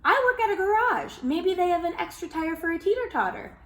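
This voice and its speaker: A woman's voice: extremely high pitch, very animated, a little fast, fairly bright tone, voice pushed up, full character voice. A woman voicing an eager neighbor woman offering help in a children's storybook. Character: helpful woman neighbor, garage worker. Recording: roomy echo.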